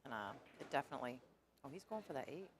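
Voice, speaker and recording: Low-voiced chatty woman commentating. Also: animated, fast talker, noisy background. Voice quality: low alto voice. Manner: chatty, rambling, laughs easily. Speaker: pool commentator. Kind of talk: casual live commentary. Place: pool hall.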